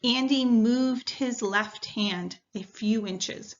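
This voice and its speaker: A woman teacher reading a story aloud to students in a deliberate, instructional way. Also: on the high side. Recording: dull, lo-fi sound.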